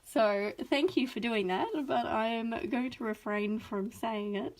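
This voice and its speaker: Bubbly Australian woman host, fairly high voice, gushing, slightly sniffly with a cold. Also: a little slow, low-quality recording. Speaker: craft video host. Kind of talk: chatty live unboxing.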